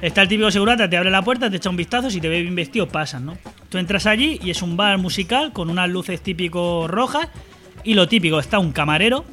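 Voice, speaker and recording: Guy in his 30s, crude and bossy, talks fast with a bright edge, music faint behind. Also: sits high, animated. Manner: brash, swears constantly, explains in a lecturing tone. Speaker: podcast co-host. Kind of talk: crude comic chat.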